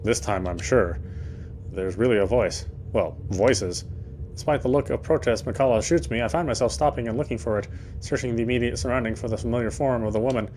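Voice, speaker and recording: Male game player, mid-pitched voice, dramatic read-aloud of story narration, slight background noise. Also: brisk, darkish tone, rough recording.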